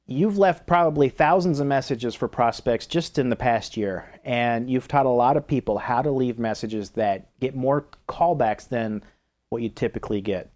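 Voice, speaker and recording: A man's voice: medium pitch, darkish tone. A man hosting an interview, delivering prepared introductions and questions. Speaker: host interviewer.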